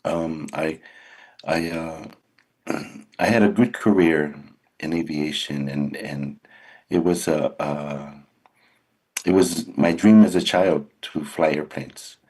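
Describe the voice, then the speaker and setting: A man's voice: extremely low pitch, steady tone, takes it slowly, long pauses, darkish tone, husky. An older man from California, a radio guest, talking earnestly and unhurriedly about his career, often restarting his sentences.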